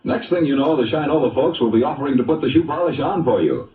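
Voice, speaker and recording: A man delivering a scripted vintage radio advertising pitch as a commercial announcer. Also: lively intonation, fast talker, distant mic, a crowd in the background. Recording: muffled, roomy old radio recording.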